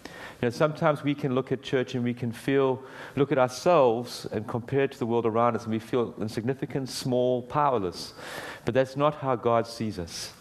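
Booming-voiced middle-aged man preaching. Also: normal speed, hardly any pauses. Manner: booming, earnest, warm conversational preaching with many restarts. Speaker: guest preacher. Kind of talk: sermon with prayer. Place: church hall.

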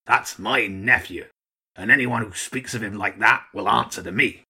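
Storybook old seagull archduke, played by a male reader: husky, gruff, commanding, blustering yet canny. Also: on the low side, expressive, normal speed, bright, forceful tone, full character voice. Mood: angry, commanding. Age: older. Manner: blustering, barking orders.

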